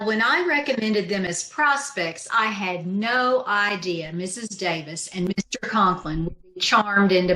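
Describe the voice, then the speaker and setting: A woman's voice: medium pitch, sharp tone. A woman playing a wry, wisecracking schoolteacher in an old-time radio comedy, with a dry manner. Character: wry, sardonic female schoolteacher who narrates her own troubles. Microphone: video call. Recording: echoey room over video call.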